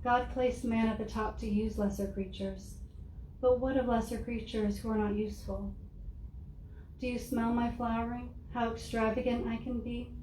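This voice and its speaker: A soft-spoken woman reading her own poems aloud in a measured, deliberate way to a live audience. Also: fairly high pitch, steady tone. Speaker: poet reading her work. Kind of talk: poetry reading. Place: room with an audience. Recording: roomy, distant mic with faint noise.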